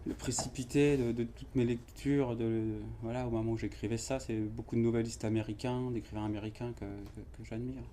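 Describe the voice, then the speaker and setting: A man, an author, talking casually about his writing in an interview at a bookshop event, relaxed and halting, often pausing with fillers. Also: mid-range voice, a little hiss or hum. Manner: relaxed, halting, fills with "voilà" and "ouais". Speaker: author at his book launch. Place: bookshop event before an audience.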